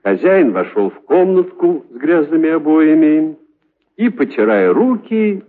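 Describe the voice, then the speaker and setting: A man narrating a children's story in an expressive, scripted storytelling style. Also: a little high, a little slow, narrow, tinny audio.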